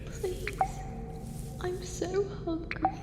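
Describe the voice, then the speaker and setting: Very high, eerie starving little girl. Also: fairly even intonation, over music, exaggerated voice. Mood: weak, eerie pleading. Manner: drawn-out, pleading. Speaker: actor playing a possessed child. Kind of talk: horror audio drama acting. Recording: echoey hall, loud background noise.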